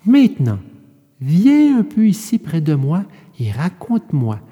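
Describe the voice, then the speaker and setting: A woman playing a patient, motherly storybook fairy, coaxing and admonishing in a soft, measured way with reverb around her. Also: fairly low pitch, huge pitch swings, slightly rough, highs cut off, heavily acted. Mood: gentle, curious. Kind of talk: audio storybook acting. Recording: long hall-like reverb.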